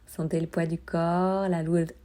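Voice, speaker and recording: Female meditation guide: calm, soothing, lowish mellow voice, soft delivery with unhurried pauses. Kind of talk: guided meditation, body scan.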